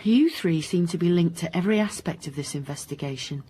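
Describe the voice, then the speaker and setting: A woman playing a wry, probing police inspector in a radio crime drama, with dry, brisk questioning. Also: lowish voice, warm tone, slight background noise, close to an everyday voice.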